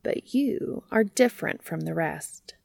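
A woman voicing a curious, gentle, devoted male bee in a storybook reading, soft and earnest. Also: deep voice, lively intonation, a little slow, creaky, dry recording, exaggerated voice. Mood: earnest, fond.

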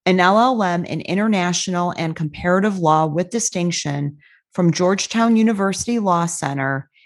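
Female podcast host, measured formal delivery, slightly low pitch. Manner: reads a long formal bio in a measured way. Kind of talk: scripted guest introduction.

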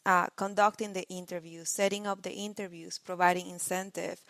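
A woman from Venezuela, a young adult researcher, talks in a somewhat low voice and walks through her work step by step, earnest and explanatory, now and then restarting a phrase. Her voice sounds dry and close to the mic. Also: sharp tone, no echo. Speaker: PhD researcher guest. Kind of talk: podcast interview.

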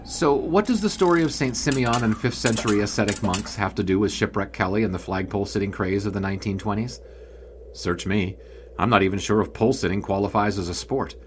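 An actor playing a wry 1920s sportswriter, narrating an audio drama in a wordy, grandiose storytelling manner. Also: male voice, lowish voice, fairly quick, slight background noise, lightly acted. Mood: dry, wry.

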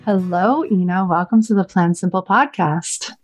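Enthusiastic low-voiced woman host. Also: normal speed, soft background music. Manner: enthusiastic, gushing. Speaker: podcast host. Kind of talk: podcast interview.

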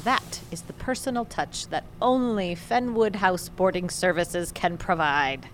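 An actress playing an eccentric, sharp-tongued older mother who runs a boarding house, breezy and teasing, in an audio drama. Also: on the low side, a little slow, slight background noise, close to an everyday voice. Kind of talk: naturalistic audio drama acting.

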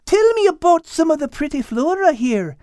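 Woman voicing an eager high-pitched Scottish lady dog. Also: sing-song, moderate pace, mellow, no room sound, studio quality. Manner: eager, inquisitive. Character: bright, eager female Scottish dog, curious learner. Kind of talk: children's audiobook character voice.

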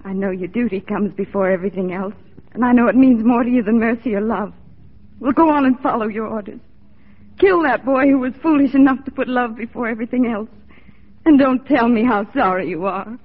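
A woman's voice: a little high, brisk, natural voice. An actress playing a proud, fiercely loyal young woman in a 1940s radio drama, earnest, emotional and insistent. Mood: bitter. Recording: tinny, narrow-band 1940s radio recording.

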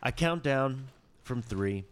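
A man hosting a podcast, chatting in a laid-back, musing way on a studio mic and trailing off into low mutters. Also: animated, slow, clear, resonant tone. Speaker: podcast host. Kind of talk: casual podcast conversation.

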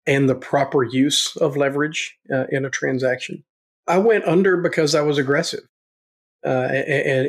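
Middle-aged southern us guy, kinda high voice, stumbles and restarts a lot, earnest. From Tennessee, USA. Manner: halting, frequent restarts, earnest. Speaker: guest, real estate investor. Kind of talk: casual interview talk.

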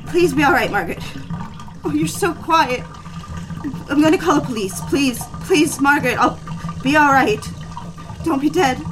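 A woman playing a frantic young secretary in an audio drama speaks in a very high-pitched voice. She pleads in halting, breathless bursts, devoted and desperate, with music behind her. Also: animated, low-quality recording. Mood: panicked, pleading.

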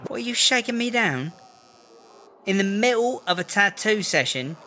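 Cocky, bright-voiced British prison inmate. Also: female voice, mid-range voice, animated, normal speed, soft background music. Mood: indignant. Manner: slangy, blustering, rambling. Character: cocky, defensive, foul-mouthed female prison inmate gangster. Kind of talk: comedy audio drama acting.

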